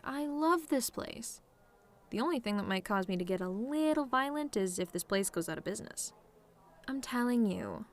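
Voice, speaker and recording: A woman's voice: a little high, natural voice. A woman playing a sardonic, teasing tomboy demon in a scripted roleplay monologue, talking in a casual, taunting way straight to the listener. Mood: annoyed, cocky. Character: sardonic tomboy female demon, relaxed, teasing, secretly fond of simple pleasures.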